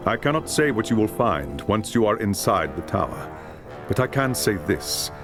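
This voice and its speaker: A man playing a solemn, commanding loremaster in a fantasy video game, giving grave and urgent counsel in measured phrases. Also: lowish voice, very animated, over music. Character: grave, commanding male high lore master mage. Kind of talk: dramatic video game cutscene acting.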